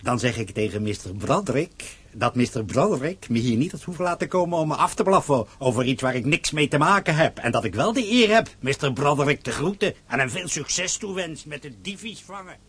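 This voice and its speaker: An actor playing a sly, defiant poor peasant father in a radio drama, raspy, mocking and resentful. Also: a little high, lively intonation, brisk, a bit bright. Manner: mocking, resentful, feigning innocence.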